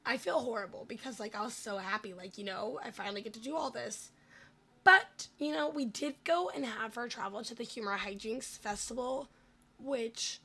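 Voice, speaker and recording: Female gaming host, high and bright but gravelly and hoarse; casual, rambling voice-over. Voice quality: raspy, cracking in and out. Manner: rambling, self-deprecating, stumbles over words. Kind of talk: casual gameplay voice-over commentary.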